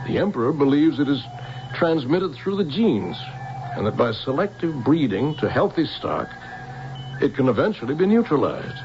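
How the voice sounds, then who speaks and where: Gravelly, expressive fatherly scientist. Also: a little high, normal speed. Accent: American. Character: protective older scientist, tender fatherly guardian to a young woman. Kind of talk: 1970s American radio drama acting. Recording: dull old radio recording with music.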